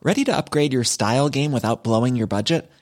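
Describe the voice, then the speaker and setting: A man's voice: medium pitch, fast. A man reading an informal scripted advertisement as a podcast host.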